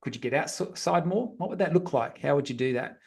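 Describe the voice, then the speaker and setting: Guy with a fairly high voice running a group video meeting, chatty, repeats words as he goes. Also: lively intonation, a little fast, vocal fry, a little mellow, husky. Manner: friendly direct address, repeats himself while thinking. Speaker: meet-up facilitator. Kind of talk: informal group instruction on a video call.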